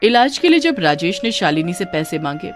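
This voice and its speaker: A woman's voice: lowish voice, very animated, moderate pace, over music. A woman giving a scripted narration of a moral story.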